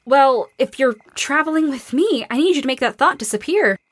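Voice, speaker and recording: A woman's voice: sits high, animated, moderate pace, no room sound. A woman acting a tough, blunt young survivor with anxiety underneath, in a naturalistic audio drama. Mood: firm. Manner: blunt, no-nonsense.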